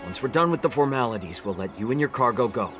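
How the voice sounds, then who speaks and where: A man's voice: mid-range voice, lively intonation, fast, a bit bright, faint music behind, close to an everyday voice. A man playing a firm, by-the-book guard in a video game, speaking in an official manner.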